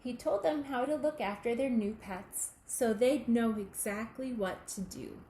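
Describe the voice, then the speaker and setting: A woman reading a children's picture book aloud, in a deliberate, expressive way aimed at young listeners. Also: a little high. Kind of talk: children's storybook read-aloud. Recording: echoey room with faint background noise.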